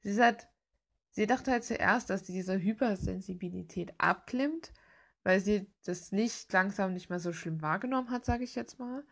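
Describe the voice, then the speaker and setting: A woman's voice: mid-range voice, a little slow, vocal fry. A young woman chatting informally and hesitantly while she draws, thinking aloud in slangy language. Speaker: art vlogger. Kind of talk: casual rambling chat while drawing. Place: cluttered room at home, at a desk.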